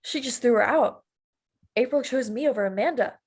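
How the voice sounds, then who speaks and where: A woman's voice: on the high side, normal speed, husky. A woman reading the part of a shocked, hesitant teen girl, in an amateur table read. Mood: questioning. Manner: hesitant, questioning.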